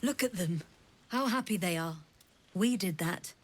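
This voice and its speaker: A woman's voice: on the low side, lively intonation, unhurried, slightly rough. Proud, fond young woman. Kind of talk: video game character dialogue.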